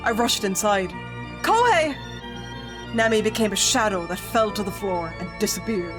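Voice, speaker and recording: A woman streamer reading a spooky visual novel aloud, breaking into jokey, excitable reactions between calm narration, with music behind her. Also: mid-range voice, moderate pace.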